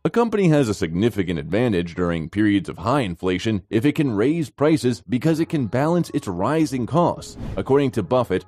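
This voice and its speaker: A man's voice: mid-range voice, a little mellow. A man reading scripted narration as the narrator of a finance explainer video. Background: background music.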